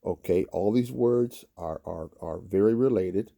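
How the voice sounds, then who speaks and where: A man's voice: deep voice, measured pace, gravelly, mellow. A man hosting his own channel, giving a motivational talk to camera and repeating phrases for emphasis.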